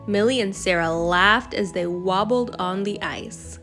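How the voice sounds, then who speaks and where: A woman reading a children's bedtime story aloud in an expressive, deliberate storytelling manner. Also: medium pitch, soft background music. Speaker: storybook reader.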